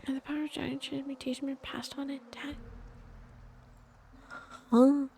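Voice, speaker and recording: High, creaky-voiced sarcastic woman streamer. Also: little pitch movement, normal speed, warm tone, a little hiss or hum. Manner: sarcastic, slangy asides. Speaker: live streamer. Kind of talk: casual gameplay commentary.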